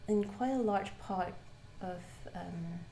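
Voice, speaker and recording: A woman from Malaysia, teaching a live Buddhist class and asking the group questions, pausing often with ums and restarts. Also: medium pitch, warm tone, a little hiss or hum. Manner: hesitant, many ums and restarts. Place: shrine room with a live class. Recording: roomy hall ambience.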